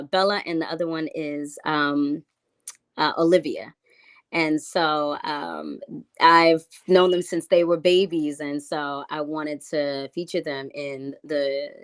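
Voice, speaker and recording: Deep-pitched female artist guest from New York City, loose and rambling in interview. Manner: rambling, hesitant with many fillers. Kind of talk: casual interview chat.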